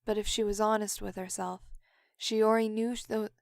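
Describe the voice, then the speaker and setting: A woman's voice: mid-range voice, flat delivery, moderate pace, creaky, heavy background noise. A woman reading scripted third-person story narration for an audio drama.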